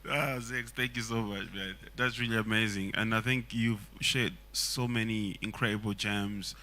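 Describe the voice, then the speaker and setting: Earnest bright-voiced man from Congo. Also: on the low side, steady tone, normal speed, a little hiss or hum. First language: Swahili. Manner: earnest, reflective, many fillers. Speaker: songwriter. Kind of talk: casual panel talk.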